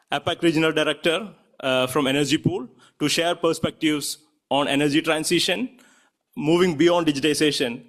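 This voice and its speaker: A man's voice: a little high, a bit bright. A man moderating a conference session, formally introducing the next presenter with a few fillers. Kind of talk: reading introductions.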